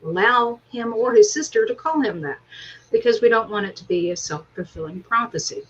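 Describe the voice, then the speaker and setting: A woman's voice: fairly high pitch, animated, fairly quick, a bit bright, slightly rough. A middle-aged or older woman co-hosting a casual spiritual discussion, recorded with lo-fi sound. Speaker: co-host of a spiritual discussion show. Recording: dull lo-fi sound, background noise.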